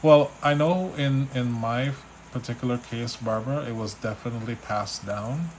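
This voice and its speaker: A man from Trinidad with a Trinidadian accent, a spiritual reader on a live stream, relaxed and chatty as he reads out viewers' questions. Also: mid-range voice, moderate pace, slight background noise, rough recording. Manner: relaxed, chatty, reads names and questions aloud, restarts often. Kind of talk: live stream reading, answering chat questions.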